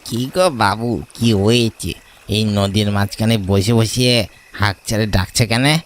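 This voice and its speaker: A man's voice: lowish voice, steady tone, unhurried, natural voice. A man voicing a rustic, questioning river boatman in a horror cartoon story, speaking colloquially.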